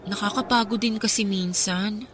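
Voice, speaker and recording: A Filipino woman playing a weary, gentle young former girlfriend in a radio romance drama, speaking in a hesitant, conversational way. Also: medium pitch, steady tone, normal speed, faint music behind, lightly acted. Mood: regretful, resigned.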